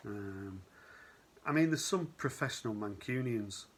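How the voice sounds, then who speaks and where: A middle-aged man with a mild Manchester accent and a lowish voice talks at home in a loose, casual way. He wanders off topic, stacking up "um"s and "you know"s. A faint hiss sits under his voice. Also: lively intonation, measured pace. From Manchester, England. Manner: rambling, with many "um"s and "you know"s. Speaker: vinyl record channel host. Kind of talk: casual chat presenting a countdown.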